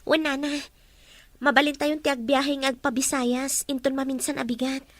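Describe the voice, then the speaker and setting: A woman with an Ilocano Filipino accent playing a gentle, respectful young daughter in an audio drama. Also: sits high, flat delivery, normal speed, no room sound, natural voice. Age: young adult. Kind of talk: radio-drama dialogue.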